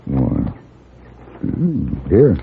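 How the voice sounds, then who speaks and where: An American actor, middle-aged, playing a cool, commanding gentleman gunfighter in a 1950s radio western, measured and dry. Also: sits low, huge pitch swings, vocal fry, heavily acted. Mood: calm, guarded. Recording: muffled, faintly hissy 1950s radio recording.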